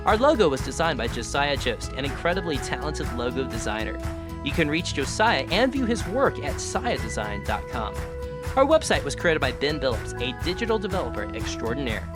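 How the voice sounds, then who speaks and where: A man speaks as a podcast host in a medium-pitched voice with very lively, varied intonation. His delivery is courteous and presenter-like, with music present in the recording. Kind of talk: interviewing and scripted podcast narration.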